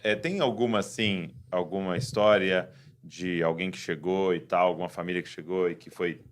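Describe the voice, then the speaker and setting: A Brazilian man hosting a podcast, talking in a relaxed, affirming, conversational way. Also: deep voice, moderate pace. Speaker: podcast host. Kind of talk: casual interview talk.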